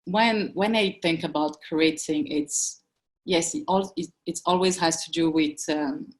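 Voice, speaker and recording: A woman's voice: low-pitched, unhurried. A woman from Cameroon, speaking thoughtfully as a curator on a video-call panel and often restarting her words.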